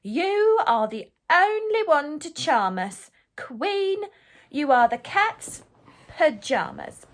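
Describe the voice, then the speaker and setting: Woman doing a grand, slow magic mirror. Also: high-pitched, sing-song, voice pushed up, exaggerated voice. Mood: flattering. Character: flattering magic talking mirror. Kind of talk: storybook reading.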